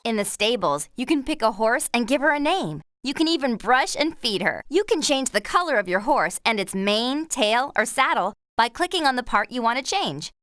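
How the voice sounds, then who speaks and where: An actress playing a cheerful, helpful young woman horse rider, guiding a video game player in a clear, upbeat, encouraging way. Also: a little high, sing-song, normal speed, few pauses, fairly bright tone, no echo, studio quality. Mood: friendly, instructive. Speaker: game lead character and tutorial guide. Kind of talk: scripted video game instruction and dialogue.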